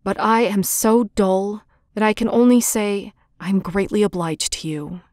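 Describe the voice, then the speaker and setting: A woman reading aloud and voicing a humble, gentle male beast in a low, breathy, whispered voice. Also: unhurried, dry recording, clean studio sound, lightly acted. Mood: mournful.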